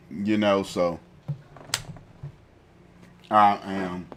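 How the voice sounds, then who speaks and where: A man's voice: low voice, sharp tone, slight background noise. A man hosting a political talk show with a lingering cough, repeating himself as his commentary builds into heated indignation. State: fighting a cough.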